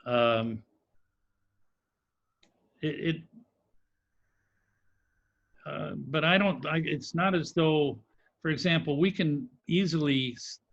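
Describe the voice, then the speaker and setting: A man's voice: mid-range voice, fairly bright tone, highs cut off. A former district governor who grew up in Mexico with Spanish as his first language, answering on a video call with many fillers and a cracking voice. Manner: halting, many fillers and restarts.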